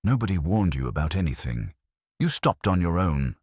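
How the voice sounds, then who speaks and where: Gruff male trucker, done by a narrator, skeptical, matter-of-fact, extremely low voice, horror tale. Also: very animated, normal speed, a little mellow, no echo, studio quality, heavily acted.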